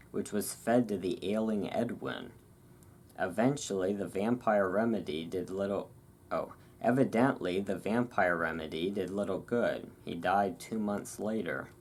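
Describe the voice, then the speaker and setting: Low-pitched ASMR narrator. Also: darkish tone, a little hiss or hum. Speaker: host reading aloud. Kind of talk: ASMR reading of historical article.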